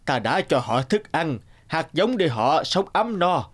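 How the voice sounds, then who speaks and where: An actor voicing a stern old emperor of heaven in an animated fairy tale, commanding and scolding in manner. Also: male voice, fairly high pitch, unhurried. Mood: indignant. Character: stern old Jade Emperor, imperious male ruler of heaven. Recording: music behind the voice.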